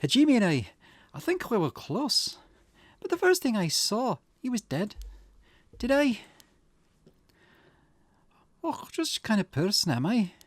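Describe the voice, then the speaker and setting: Man putting on a high, bewildered Scottish woman. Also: wildly swooping pitch, unhurried, pauses often, dry recording, heavily acted. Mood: troubled, questioning. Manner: bewildered, questioning, dramatic. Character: confused amnesiac woman waking in pain, visual novel protagonist. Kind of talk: video game character voicing.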